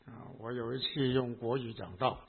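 Husky pastor with a Hong Kong accent. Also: male voice, medium pitch, steady tone. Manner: speaks in short phrases, pausing for the interpreter. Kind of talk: sermon with live interpretation. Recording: tinny phone-like sound.

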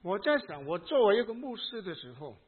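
A man with a Hong Kong accent preaching a sermon in short phrases, pausing between them for an interpreter. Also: high voice, big pitch swings, breathy edge. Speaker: pastor. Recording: tinny phone-like sound.

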